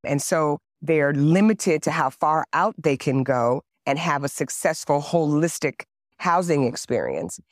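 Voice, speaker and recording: A woman's voice: low-pitched, animated, measured pace, no room sound, clean studio sound. Woman legislator speaking on a panel. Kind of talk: panel discussion answer. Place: conference panel.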